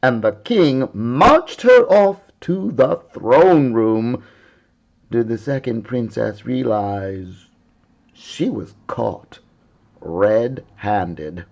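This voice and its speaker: A man reading a children's story aloud in a dramatic, deliberate, theatrical way. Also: medium pitch, lively intonation, vocal fry, darkish tone, dull audio. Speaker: storyteller, art teacher.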